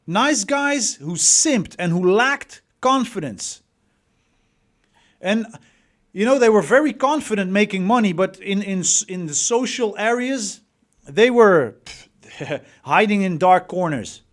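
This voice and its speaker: A high-voiced man with a Dutch accent delivers a motivational coaching talk at an unhurried pace. He leans on repetition and rhetorical questions to drive his points home. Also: lively intonation. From Netherlands. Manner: emphatic, repeats words, rhetorical questions. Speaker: dating coach.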